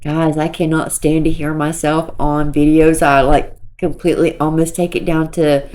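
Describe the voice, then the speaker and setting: A woman with a squeaky voice giving casual, rambling commentary to her viewers, repeating herself and filling pauses with ums. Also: little pitch movement. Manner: rambling, repeats herself, soft filler-laden talk. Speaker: commentary creator.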